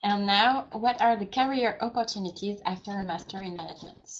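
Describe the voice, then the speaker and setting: A woman's voice: mid-range voice, a little fast, slight background noise. A woman moderating a webinar, polite, handing over with brief transitions. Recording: dull lo-fi sound.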